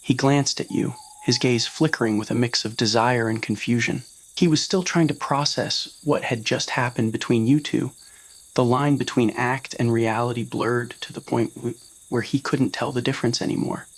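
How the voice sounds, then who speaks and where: A man's voice: medium pitch, steady tone, normal speed, rough recording. Man narrating a scripted romantic story. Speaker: narrator of fan fiction audio roleplay.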